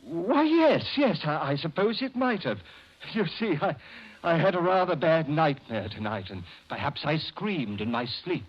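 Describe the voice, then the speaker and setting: An actor playing a nervous madman murderer who feigns genteel calm, in theatrical old radio drama style with overpolite, hesitant speech. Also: a little high, a little slow. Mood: evasive, uneasy. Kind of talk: 1940s radio acting, suspense monologue and dialogue. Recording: noisy, muffled old radio recording.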